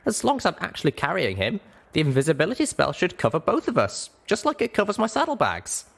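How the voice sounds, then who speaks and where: A man's voice: high voice, huge pitch swings, quick pace, vocal fry, voice pushed up, full character voice. A man voicing a young female pony, an earnest, stubborn and anxious heroine, for a storybook reading. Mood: earnest planning. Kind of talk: audiobook character voice.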